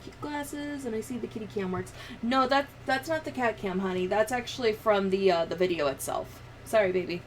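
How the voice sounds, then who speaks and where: A woman livestreamer chatting casually, rambling and thinking out loud as she goes. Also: medium pitch, brisk, a little hiss or hum.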